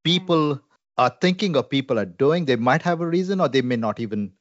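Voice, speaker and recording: A man's voice: mid-range voice, lively intonation, a little fast, dry recording, dull audio. Reflective Indian-accented man, dry sound. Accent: Indian English. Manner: earnest, reflective, frequent fillers. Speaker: Salvation Army minister. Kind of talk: conversational interview.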